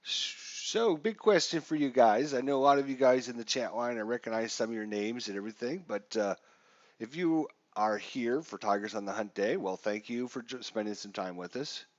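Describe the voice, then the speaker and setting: A man's voice: medium pitch, animated, moderate pace, no echo. A man with a stuffy, congested voice casually walking viewers through a wargame scenario on a live stream, with lots of fillers. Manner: casual, chatty with frequent fillers. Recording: dull, dry lo-fi stream audio.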